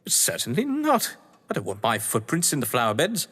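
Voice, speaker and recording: An actor playing a scheming, theatrical English crime novelist in an audio drama, pompous, arch and bossy, with an English accent. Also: male voice, mid-range voice, wildly swooping pitch, moderate pace, fairly bright tone. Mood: gleeful, commanding. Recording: long hall-like reverb.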